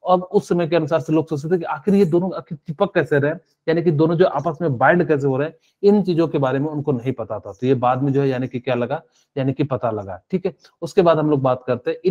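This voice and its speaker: A man's voice: on the high side, fairly quick, a little mellow, highs cut off. A male chemistry teacher giving a live online class, explaining step by step with many fillers and asking students questions.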